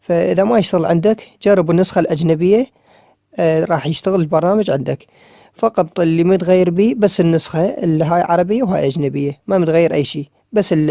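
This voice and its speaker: Man, Iraqi Arabic accent, rapid delivery, fairly high voice, step-by-step screen tutorial, repetitive. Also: dark tone, dry recording, clean studio sound. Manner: repetitive, pointing out each tap with drawn-out "ah" fillers. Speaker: app tutorial maker.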